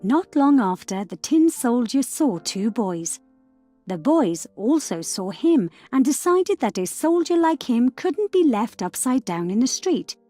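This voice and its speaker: A woman storyteller narrating a scripted fairy tale for children in a measured, expressive way. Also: fairly high pitch, no echo, soft background music.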